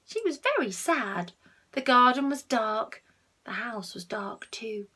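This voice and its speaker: A woman reading a picture book aloud to children, expressive, with asides to the listeners and hushed tones for effect. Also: fairly high pitch, slow, breathy edge. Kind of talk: animated read-aloud with asides to young listeners.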